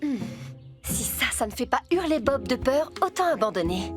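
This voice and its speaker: A woman playing a lively, playful builder's colleague in a French cartoon dub, speaking expressively and deliberately. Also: high-pitched. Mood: mischievous. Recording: clear music behind the voice. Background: music.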